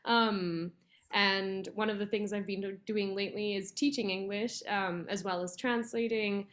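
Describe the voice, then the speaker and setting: A woman's voice: mid-range voice, fairly even intonation, a little slow, a bit bright. A young woman from Argentina, a guest on an interview, chatting casually with frequent laughs and filler words. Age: young adult. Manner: chatty, laughs often, many ums and likes. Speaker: guest, translator.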